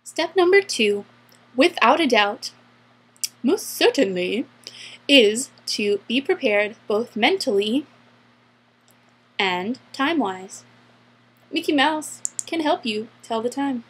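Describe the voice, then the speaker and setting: High-voiced young woman, chatty and slightly husky. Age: young adult. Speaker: vlogger giving interview advice. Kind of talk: casual advice vlog.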